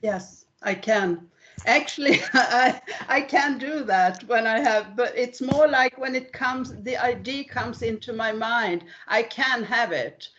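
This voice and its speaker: A woman's voice: on the high side, takes it slowly, fairly bright tone, slight background noise. A woman talking casually about herself as a student in an online group call. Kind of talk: casual conversation. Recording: dull, lo-fi internet call audio.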